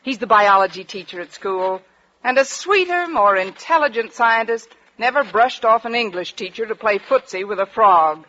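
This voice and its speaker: An actress playing a wry, underpaid high school English teacher in a 1940s radio comedy, with dry, wisecracking delivery. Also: female voice, animated, moderate pace, pushed and bright, a little hiss or hum. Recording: dull vintage 1940s radio recording.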